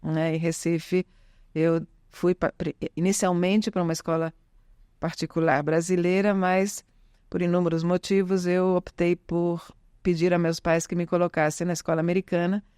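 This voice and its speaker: Smooth-voiced Italian-born woman, chatty guest. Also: fairly low pitch, level tone, normal speed, darkish tone, dry recording, clean studio sound. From Italy. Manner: chatty, with frequent tag questions and restarts. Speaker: interview guest, language teacher. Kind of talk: casual conversational interview.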